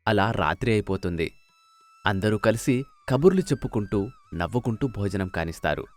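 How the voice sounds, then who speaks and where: A man's voice: fairly low pitch, big pitch swings, normal speed, faint music behind. A man narrating a scripted moral story as a storyteller.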